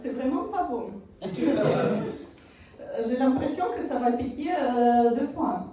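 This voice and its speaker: Slow, halting Ukrainian-accented woman. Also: high-pitched, animated, crowd noise. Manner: halting, many fillers, self-deprecating. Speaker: stand-up comedian. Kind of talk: stand-up set while eating hot sauce. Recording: echoey room, far from mic.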